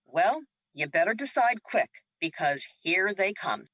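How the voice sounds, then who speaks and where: Woman acting feisty, bright-voiced female fighter. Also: medium pitch, big pitch swings, unhurried, no echo, lo-fi sound. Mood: sassy. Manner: bold, sassy. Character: Luna, feisty, cocky female ally, fiercely defiant. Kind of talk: audio drama acting.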